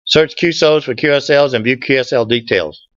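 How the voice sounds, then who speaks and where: A man's voice: medium pitch, a little fast, a bit bright, dry recording. A man giving an informal slide presentation to a group, folksy and joking in manner. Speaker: club presenter. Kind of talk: informal slide presentation with audience back-and-forth. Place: meeting room, standing at a lectern indoors. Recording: dull, lo-fi room recording.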